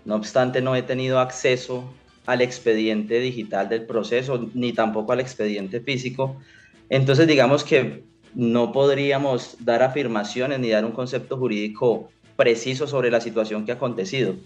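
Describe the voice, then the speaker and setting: A young man from Colombia, a lawyer, speaking in a formal, measured, careful way. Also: mid-range voice, steady tone, faint music behind. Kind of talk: formal legal statement on a call-in interview. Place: small indoor room.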